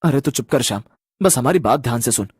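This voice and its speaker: A man's voice: medium pitch, expressive, fast talker, slightly rough, dry recording, clean studio sound. A man playing anxious, earnest village boys in a horror cartoon, talking with urgent exclamations.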